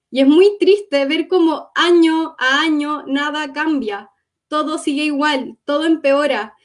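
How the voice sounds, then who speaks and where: A young woman from Gaza giving an earnest, reflective personal testimony in an interview, often pausing to recall. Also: high voice. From Gaza, Palestine.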